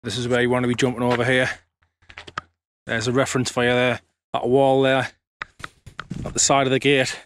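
Chatty photographer out on the moors. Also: male voice, mid-range voice, monotone, fairly quick, long pauses, fairly bright tone, slight background noise. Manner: chatty, explaining as he works. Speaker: landscape photographer. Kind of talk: casual on-location vlog. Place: outdoors on open limestone moorland. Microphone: lapel mic.

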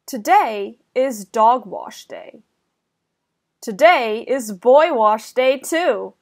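Young woman, children's story reader, high pitch, extremely unhurried, deliberate enunciation. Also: lively intonation. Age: young adult. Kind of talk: slow, clear children's read-aloud.

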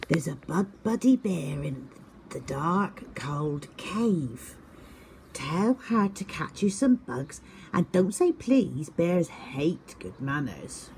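A woman's voice: low-pitched, very animated, slow, gravelly, darkish tone, a little hiss or hum, full character voice. A woman voicing a mean older brother owl who mocks and tricks his little brother in a sly tone. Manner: sly and mocking.

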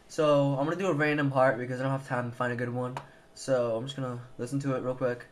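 A man's voice: medium pitch, level tone, fast. A young adult man giving a casual, hesitant tutorial, thinking aloud as he goes. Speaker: tutorial presenter. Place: indoors at a computer. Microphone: handheld camera mic. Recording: noisy handheld camera recording.

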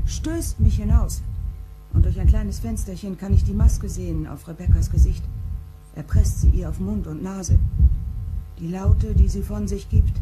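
A woman's voice: medium pitch, close to an everyday voice, poor audio. An actress playing a grieving, haunted mother in a pained, intimate radio drama monologue. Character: grieving, haunted mother recalling her child's death. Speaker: actor in a radio play. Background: prominent music.

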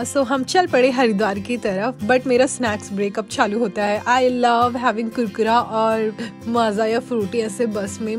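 Relaxed woman narrating voice-over. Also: on the high side. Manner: relaxed, reflective storytelling. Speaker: travel vlogger.

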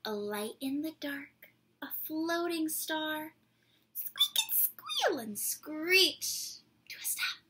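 A woman in her twenties reading a picture book aloud to children, with playful, deliberate, dramatic phrasing, recorded at home in a small room. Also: high voice, huge pitch swings, pushed and bright, slight background noise. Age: 20s. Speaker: children's read-aloud presenter.

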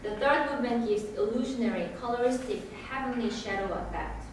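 Woman lecturer, measured reading pace, distant mic in a reverberant hall with room hiss. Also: a little high. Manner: measured, reading from notes with occasional hesitations. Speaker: lecturer giving a lecture recital. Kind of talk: read lecture presentation. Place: recital hall with grand piano and projection screen. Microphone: far room camera. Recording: echoey hall from far off, audible room noise.

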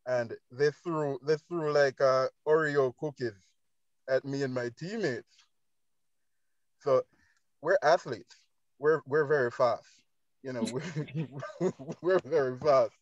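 A young Jamaican man talks casually in a mid-pitched voice, often restarting and repeating phrases. The recording is dull and dry, like a phone video call. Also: slow pace, long pauses, no room sound. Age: young adult. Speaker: panelist, business owner. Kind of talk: casual anecdotal talk.

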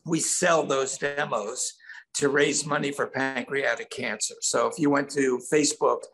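A man's voice: mid-range voice, steady tone, a little slow, creaky, fairly bright tone, soft background music, low-quality recording. An older man telling stories casually as a songwriter guest in an interview over a video call. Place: indoor room at home.

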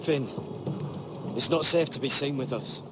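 Determined deserter ranger for a fantasy game male actor: earnest, middle-register voice, rain clearly behind. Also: medium pitch, measured pace, close to an everyday voice. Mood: resolute. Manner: serious, resolute. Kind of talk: video game drama acting. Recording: rainstorm noise behind.

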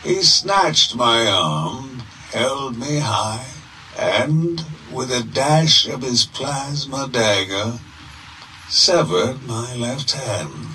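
An actor playing a zealous, grandiose merchant prophet of greed in a theatrical, deliberate game audio log monologue. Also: male voice, medium pitch, animated, pushed and bright, recorded from a distance, slight background noise, exaggerated voice, rough recording. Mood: grim, defiant.

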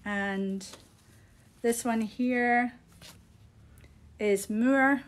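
Higher-pitched woman, chatty presenter. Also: drawn-out words. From Scotland. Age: middle-aged. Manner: chatty, unscripted, appreciative. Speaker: yarn shop host presenting products. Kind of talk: casual live product showcase. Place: small indoor room. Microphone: phone at arm's length.

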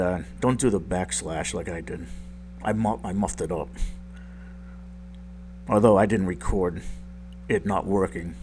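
A man with a deep, just-woken-up voice walking through a tech tutorial in a rambling, self-deprecating way, with lots of ums. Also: darkish tone, a little hiss or hum. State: just woke up, deep morning voice. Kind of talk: casual screen-recorded tutorial voice-over.

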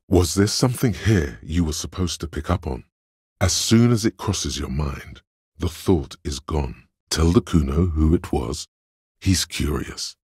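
A man voicing a detective's coolly analytical inner voice of logic in a video game, gravelly and husky, with measured, deliberate delivery. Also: very deep voice, darkish tone, dry recording, clean studio sound, exaggerated voice. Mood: pondering. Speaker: game voice actor. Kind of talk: scripted video game narration.

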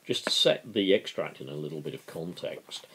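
A man's voice: extremely low pitch, animated, moderate pace, fairly bright tone, husky. A man in his fifties informally introducing a dramatic book reading, talking to a camera in his living room. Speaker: amateur book reader. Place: small living room, indoors.

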